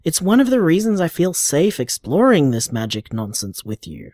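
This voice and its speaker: A man's voice: fairly high pitch, wildly swooping pitch, normal speed, a little mellow, no echo, clean studio sound. An actor playing an affectionate, upbeat young man leaving casual, earnest voicemails in an intimate audio drama. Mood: earnest, reassuring.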